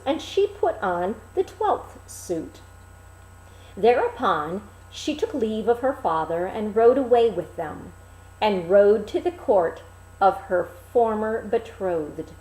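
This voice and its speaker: A woman reading a fairy tale aloud as a theatrical storyteller, pausing between phrases. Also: on the high side, warm tone, reverberant, rough recording. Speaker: storyteller, reader.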